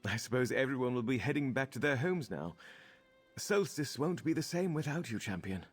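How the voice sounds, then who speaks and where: An actor playing a polite, earnest, curious companion in a fantasy game, formal and slightly hesitant. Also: male voice, medium pitch, expressive, normal speed, breathy edge, soft background music. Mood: earnest, wistful. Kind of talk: fantasy video game dialogue.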